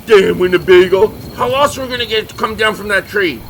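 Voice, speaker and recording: A man's voice: high-pitched, fast, slight background noise, low-quality recording. A man playing an irritable, exasperated monster hunter who scolds his partners like a foul-mouthed know-it-all. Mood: exasperated, angry.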